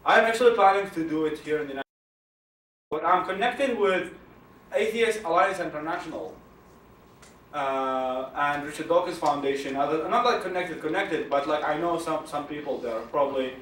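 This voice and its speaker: A young man from Iraq, Arabic native, with an Iraqi Arabic accent, answering audience questions at a talk in a halting way, repeating words and laughing often. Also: on the high side, fairly bright tone, slight background noise. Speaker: guest speaker. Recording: echoey room from far off, faint ambience.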